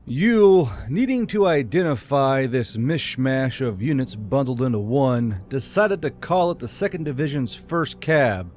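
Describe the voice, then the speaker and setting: Mid-pitched man narrating a fantasy story. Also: a little mellow. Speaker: channel host and narrator. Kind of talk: scripted fantasy audiobook narration.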